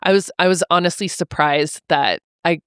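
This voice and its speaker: Soft-spoken woman, smooth and close. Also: lowish voice, moderate pace, no room sound. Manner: thoughtful, many fillers and restarts. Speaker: author guest. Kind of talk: casual podcast conversation. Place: mobile podcast studio.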